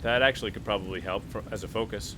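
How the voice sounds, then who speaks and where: A man's voice: fairly low pitch, fast, background noise. A man chatting casually as a tabletop game player, often checking the rules aloud with questions.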